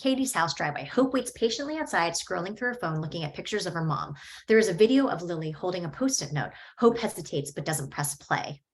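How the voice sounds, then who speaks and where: A woman reading the stage directions at a script table read, in an even, matter-of-fact way. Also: lowish voice, a little fast, few pauses.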